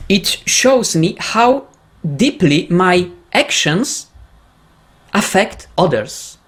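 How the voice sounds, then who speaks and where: Woman lecturer, low and very slow, lively melody, hesitant delivery with fillers. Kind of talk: personal testimony.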